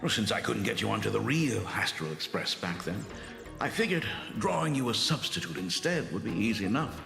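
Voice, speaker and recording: A man playing a gentle, wistful aging father in a video game, speaking fondly and formally with frequent sighs. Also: on the low side, very animated, vocal fry. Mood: tender. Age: older. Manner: sighing, unhurried, fond and formal. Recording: roomy echo, music behind. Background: music.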